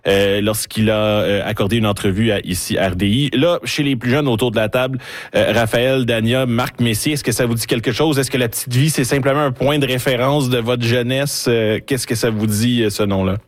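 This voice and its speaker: A man hosting a radio panel discussion, speaking in a measured way with frequent hesitations. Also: mid-range voice, dry recording, studio quality. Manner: measured, steady, many hesitations. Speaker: radio show host.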